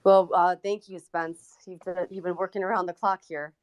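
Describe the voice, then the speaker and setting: A woman with a fairly low voice chats on a live call as co-host. Her delivery is casual and appreciative, with halting phrasing. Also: flat delivery. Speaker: co-host of live group calls. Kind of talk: casual chat in a live group audio call.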